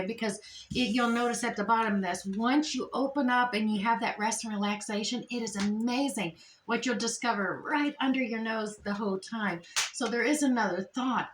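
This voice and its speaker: A woman's voice: a little high, normal speed. A woman doing a chatty, meandering tarot reading while losing her voice, her throat strained. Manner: chatty, meandering, with many fillers and restarts. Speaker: tarot reader. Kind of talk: casual tarot reading to camera. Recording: echoey room with faint noise.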